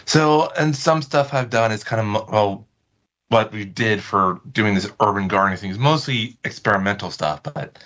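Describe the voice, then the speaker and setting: Hesitant man with a bright, lowish voice. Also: normal speed, highs cut off. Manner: hesitant, informal, feeling for words. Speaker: podcast guest. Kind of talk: casual interview chat.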